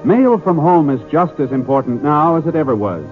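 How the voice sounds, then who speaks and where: A man's voice: a little high, expressive, moderate pace. American guy doing old time radio announcer copy, formal and polished, narrow sound w music behind. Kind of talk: 1950s radio announcing, scripted.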